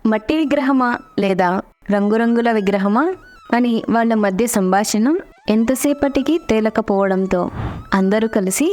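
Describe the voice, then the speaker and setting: Woman, story narrator, higher-pitched voice, scripted delivery, faint background music. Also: moderate pace, darkish tone.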